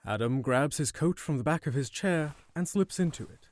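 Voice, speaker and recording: A man's voice: a little high, animated, normal speed. A man narrating an audio drama from a script, as its storyteller.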